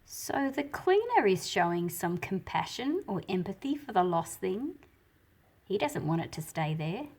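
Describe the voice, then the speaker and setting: A woman reading a storybook aloud and explaining it as she goes, in an expressive, deliberate manner with a slightly husky, breathy quality. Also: medium pitch. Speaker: picture book read-aloud host. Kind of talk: storybook read-aloud with teaching commentary.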